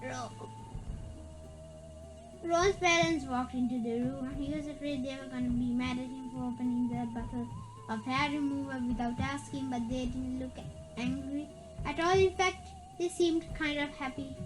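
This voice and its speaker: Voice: high voice, fairly even intonation, moderate pace, poor audio. Someone reading a children's spooky story aloud, stumbling and repeating words along the way. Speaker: reader. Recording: background music. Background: music.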